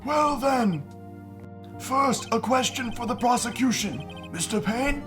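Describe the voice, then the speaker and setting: Elderly male judge played by an amateur man, very high voice, formal and measured, courtroom game dub with music behind. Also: expressive, full character voice. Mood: formal, unhurried. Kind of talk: video game fan dub.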